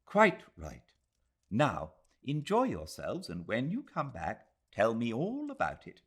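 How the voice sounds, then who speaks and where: A man voicing a kindly, tired elderly English lady with a gentle, polite manner, in an audiobook. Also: medium pitch, wildly swooping pitch, slow, slightly rough, voice pushed up, heavily acted.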